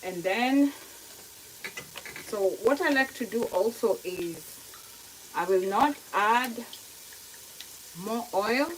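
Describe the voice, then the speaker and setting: A woman with a Kenyan accent giving a casual cooking demonstration to viewers, explaining step by step in a halting, unhurried way. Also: medium pitch, lively intonation. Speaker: home cook hosting a cooking tutorial. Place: kitchen, indoors. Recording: noisy kitchen, sizzling frying sounds. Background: frying sizzle, stirring, clicks.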